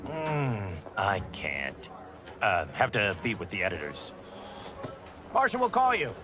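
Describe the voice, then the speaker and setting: An actor playing a reluctant, evasive film director in a game cutscene, with a hesitant delivery. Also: male voice, fairly low pitch, huge pitch swings, slow pace, full character voice. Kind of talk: naturalistic game cutscene acting.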